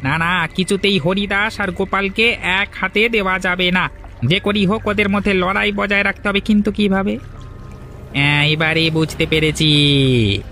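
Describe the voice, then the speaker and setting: A man's voice: sits high, moderate pace, pushed and bright, faint music behind. An actor playing a scheming middle-aged village man who plots aloud to himself in a cartoon. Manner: plotting aloud to himself, sly. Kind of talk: cartoon voice acting.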